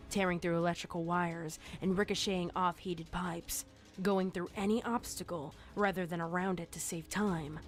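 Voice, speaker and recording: A woman reading scripted horror fiction as a storyteller. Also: on the low side. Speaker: narrator. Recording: music under the narration. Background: music.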